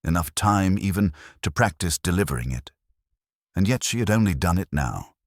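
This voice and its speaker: Man acting a very deep, thoughtful police inspector. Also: expressive, no room sound, clean studio sound. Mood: musing. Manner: quiet, deliberate, reflective. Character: softly spoken, thoughtful male police inspector, dry and measured.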